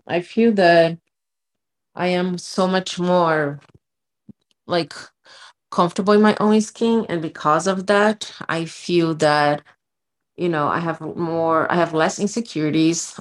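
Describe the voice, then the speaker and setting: A woman in her thirties co-hosting a casual podcast, telling stories with lots of "like" and "you know". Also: fairly low pitch. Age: 30s. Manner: storytelling, animated, frequent "like" and "you know". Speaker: podcast co-host.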